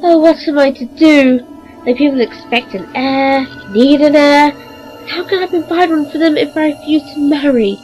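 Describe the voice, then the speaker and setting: A woman's voice: high voice, moderate pace, darkish tone, close to an everyday voice. A woman playing an anxious, regal young ruler of a twilight realm in a fantasy radio play, speaking in a formal, musing, theatrical way. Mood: troubled. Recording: dull lo-fi home recording. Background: music.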